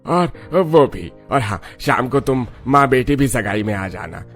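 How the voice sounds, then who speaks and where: A man's voice: medium pitch, expressive, a little slow, faint music behind, close to an everyday voice. A man voicing a kindly, obliging middle-aged village headman in a cartoon, reassuring and deferential. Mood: kindly, coaxing.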